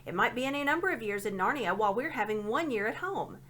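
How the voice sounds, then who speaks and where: A sulky schoolboy in a storybook, voiced by a woman reader, grumbling and easily annoyed. The voice is bright and fairly high, with animated, snappish turns. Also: fast. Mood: impatient explaining. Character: cross, sulky schoolboy, impatient and miserable.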